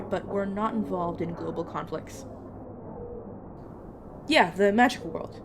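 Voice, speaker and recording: Over clearly noisy ambience comes a low female voice, an actress playing a weary cursed agent who hunts rogue vampires. She explains patiently and apologetically, with casual, hesitant asides, in the intimate style of an audio roleplay. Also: natural voice. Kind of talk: narrative audio roleplay acting, addressed to listener.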